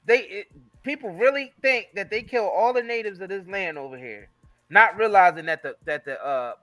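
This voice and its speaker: A man's voice: high-pitched, normal speed, pushed and bright, soft background music. An American man co-hosting a casual talk show, blunt and slangy, with plenty of swearing. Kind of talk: casual opinionated chat.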